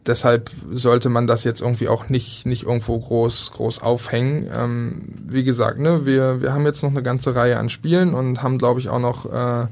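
Flat-voiced hesitant German man. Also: mid-range voice, moderate pace, hardly any pauses. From Berlin, Germany. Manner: hesitant, many fillers and restarts. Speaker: handball goalkeeper. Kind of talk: interview answers.

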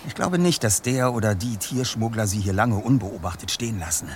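An actor playing a self-assured, analytical young detective leader in a German audio drama, with precise, formal wording. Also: male voice, on the low side, a little fast, noisy background, close to an everyday voice. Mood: pondering, assured. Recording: audio drama scene with clear outdoor ambience.

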